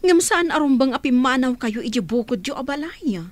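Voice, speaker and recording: A woman's voice: high voice, expressive, normal speed, no room sound. A woman acting in a radio drama as Flora, a caring woman who keeps asking questions of the orphaned children. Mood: concerned. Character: caring woman named Flora, recently returned, concerned for orphans.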